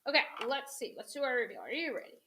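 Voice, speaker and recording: A woman's voice: sits high, expressive, fast talker, creaky, noisy background. A woman hosting a crafting demo, chatting casually to viewers as she paints, a little sniffly from a cold. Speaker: craft host.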